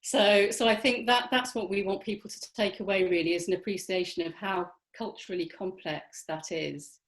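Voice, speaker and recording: A woman's voice: mid-range voice, fairly even intonation, moderate pace. A woman explaining her work in a panel discussion, engaged and checking in with tag questions. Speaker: film writer or maker on a panel. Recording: echoey, reverberant room sound.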